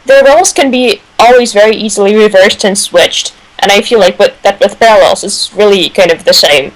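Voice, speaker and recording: A woman's voice: mid-range voice, a little fast, low-quality recording. A woman giving her views casually as a panelist on a fan radio show.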